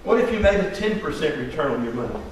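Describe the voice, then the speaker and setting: A man's voice: on the high side, sing-song, quick pace. Folksy man teaching in an echoey hall. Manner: folksy, asks the audience questions, emphatic. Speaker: financial advisor teaching a seminar. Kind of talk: classroom presentation. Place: large echoey room. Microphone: room mic from a distance. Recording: echoey room, distant mic, faint hiss.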